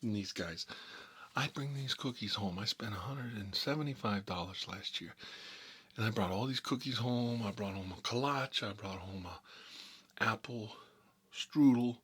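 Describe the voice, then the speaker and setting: A man's voice: lowish voice, unhurried, fairly bright tone, husky. A man playing a chatty, boastful American mob boss who rambles and repeats himself in a casual ASMR role-play monologue. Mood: boastful, rambling. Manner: folksy, repetitive, rambling, emphatic. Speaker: ASMR storyteller playing a recurring character.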